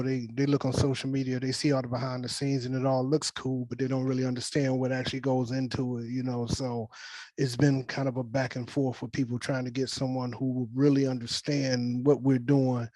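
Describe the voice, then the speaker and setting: A man's voice: medium pitch, level tone, brisk, dark tone, dry recording, low-quality recording. A man speaking as a film director in an informal team briefing on a video call, rambling and reassuring. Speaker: film director leading a table read. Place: virtual meeting on a video call.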